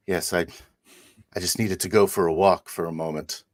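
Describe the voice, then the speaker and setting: A man acts out a fervent priest in a tabletop roleplaying game. His voice is very deep and unhurried. He speaks with solemn, portentous weight, as if guarding secrets. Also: fairly even intonation, no echo, studio quality. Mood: grave, secretive. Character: fervent, secretive male priest claiming holy visions.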